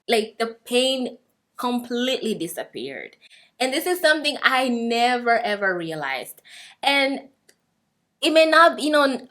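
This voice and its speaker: Earnest young woman, somewhat high voice. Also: fairly bright tone. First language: Swahili. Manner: earnest, encouraging, many fillers and restarts. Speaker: fitness vlogger. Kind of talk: casual talk to camera.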